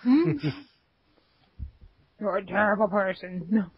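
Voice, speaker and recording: A woman's voice: medium pitch, lively intonation, slow pace, slightly rough, no room sound. A woman co-hosting a casual gaming podcast, giggly and rambling with lots of "like". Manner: giggly, rambling with many likes. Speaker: podcast co-host. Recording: dull lo-fi dry sound.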